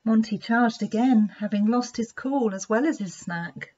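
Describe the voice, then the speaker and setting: A woman reading a children's story aloud in an expressive, deliberate storytelling manner. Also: on the high side, breathy edge. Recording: dull lo-fi sound.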